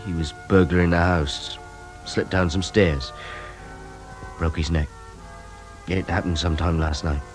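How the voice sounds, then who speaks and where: Weary detective narrator: low register, wry, reflective, radio crime play over faint music. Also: male voice, steady tone, a little slow, long pauses. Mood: awkward, grave. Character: world-weary male police detective, wry, self-doubting. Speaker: narrator and lead detective character. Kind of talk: radio crime drama, first-person narration and dialogue.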